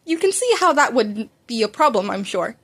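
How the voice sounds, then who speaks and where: A woman voicing a kindly, formal adult male hero mentor, polite and measured, in a fan fiction audiobook. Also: on the high side, sing-song. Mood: instructive.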